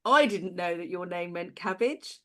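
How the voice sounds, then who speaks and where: A woman hosting an online session over a video call, encouraging and quick to laugh, then walking through a list of changes. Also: lowish voice, animated. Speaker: host.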